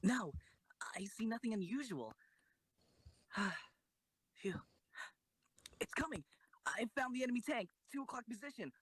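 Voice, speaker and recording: A man voicing a meek young tank crew lookout with a breathy voice in an amateur audio drama. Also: extremely high pitch, sing-song, unhurried, pauses often, a bit bright, no echo, pitched above the performer's own voice, full character voice. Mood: tense, reporting.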